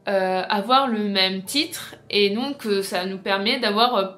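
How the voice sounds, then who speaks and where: A woman's voice: mid-range voice, a little slow, a bit bright, soft background music. A woman presenting an informal video essay as a book vlogger, chatty and explanatory, speaking straight to her viewers.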